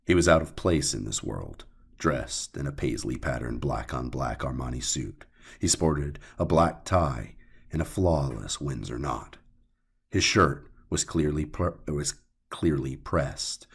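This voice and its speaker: Very deep, gravelly male story narrator. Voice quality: gravelly, raspy. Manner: brooding, measured, with deliberate pauses. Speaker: audiobook narrator. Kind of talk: dramatic scripted horror narration.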